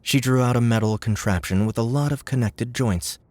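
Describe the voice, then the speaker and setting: A man's voice: mid-range voice, normal speed, a little mellow. A man narrating scripted fiction as an audiobook narrator.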